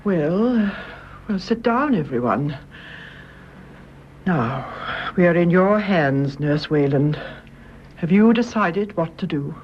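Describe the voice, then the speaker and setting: Older english matriarch, deep voice, shrewd and composed, very varied intonation. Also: female voice, lively intonation, takes it slowly, highs cut off, slight background noise. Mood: brisk, composed. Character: composed, shrewd, forgiving elderly mother-in-law. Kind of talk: British radio drama acting.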